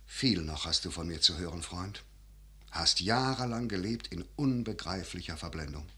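A man's voice: low voice, expressive, moderate pace, bright, forceful tone. An actor playing a grave, calculating older father and general in a classical radio verse drama, with solemn, emphatic declamation. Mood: grave, warning.

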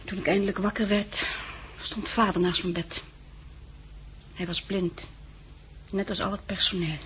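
A woman's voice: medium pitch, steady tone, unhurried, a bit bright, close to an everyday voice. An actress playing a shaken, grateful young woman, well-bred and hesitant, in a 1960s radio drama. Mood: shaken, confiding. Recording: noisy 1960s radio recording.

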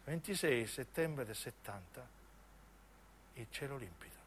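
A man narrates as a stage actor, in intense, deliberate phrases of dramatic radio storytelling. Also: mid-range voice, animated, a little hiss or hum. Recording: piano music under the voice. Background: piano and keyboard music.

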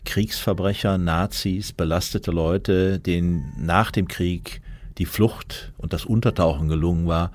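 A man narrating a scripted documentary in a deliberate, formal manner. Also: low voice.

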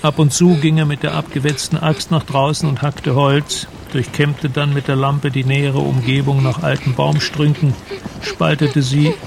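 A man narrating a literary radio drama in a measured, descriptive storytelling manner. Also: a little high, gravelly, very noisy.

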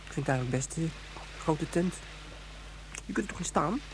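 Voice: medium pitch, lively intonation, a little fast, natural voice. An actor playing a young, indignant, proud child in a Dutch science-fiction radio play, talking earnestly. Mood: defensive. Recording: noisy old radio drama recording.

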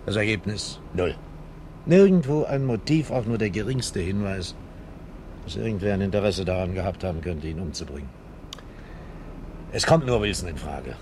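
An exasperated police inspector in a radio crime play. His voice sits fairly low and he scolds with biting sarcasm. There is some noise in the 1970s recording. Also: expressive, moderate pace, pauses often, a little mellow. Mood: frustrated, brooding. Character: exasperated, sarcastic male police inspector, blustering and sharp-tongued. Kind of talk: German radio crime drama acting.

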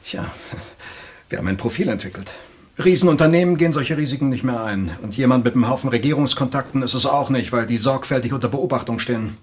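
An actor playing a knowledgeable weapons expert in a German radio play, speaking in an assured, matter-of-fact, instructive way. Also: male voice, medium pitch, quick pace, lightly acted.

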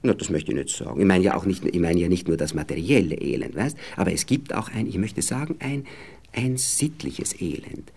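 A man's voice: low-pitched, lively intonation, a little fast, gravelly, a little mellow, exaggerated voice. An actor playing a smug, moralizing husband in a radio play, with an Austrian accent, talking down to his young wife as he gropes for words. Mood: pompous, explaining. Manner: patronizing, hesitant, groping for words.

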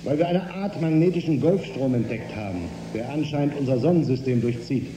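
An actor playing a calm, earnest spaceship scientist in a radio drama, measured and lecturing. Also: male voice, mid-range voice, natural voice. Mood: explanatory. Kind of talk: German radio drama acting. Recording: roomy studio with faint hum.

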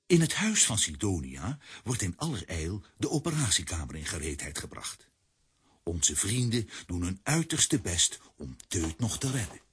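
Deep, rough-voiced storyteller. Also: male voice, very animated, moderate pace, exaggerated voice. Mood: earnest. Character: grown male storyteller, earnest. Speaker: narrator. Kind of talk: scripted storybook narration for children's audio drama.

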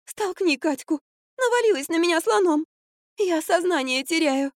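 A woman's voice: extremely high pitch, lively intonation, normal speed, no echo, clean studio sound, voice pushed up. A woman voicing a whiny, melodramatic young actress in an audiobook, groaning and strained as she wails. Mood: indignant, panicky. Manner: wailing, melodramatic.

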